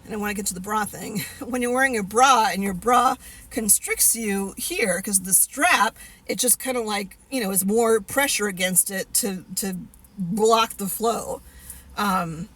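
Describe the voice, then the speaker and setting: A woman's voice: medium pitch, measured pace, fairly bright tone. A woman in her fifties talking casually to the camera, candid and rambling with many fillers and restarts. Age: 50s. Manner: candid, laughs at herself. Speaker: vlogger.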